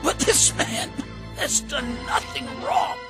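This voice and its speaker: A humble condemned man near death, speaks slowly in a strained, very high voice. He is weak and gasping, in a biblical audio drama with music behind him. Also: expressive, heavily acted. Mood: agonized rebuke. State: coughing, weak, gasping in agony. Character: dying, repentant crucified criminal, humble and pleading. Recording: prominent music bed.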